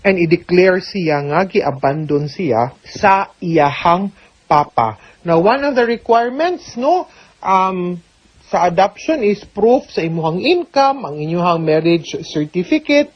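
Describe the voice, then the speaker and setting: A woman's voice: fairly low pitch, animated, slow. A woman with a Cebuano Filipino accent giving conversational, step-by-step legal advice in answer to listener questions, often adding small check-in tags. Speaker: legal adviser on a radio programme. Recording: dull, lo-fi radio audio.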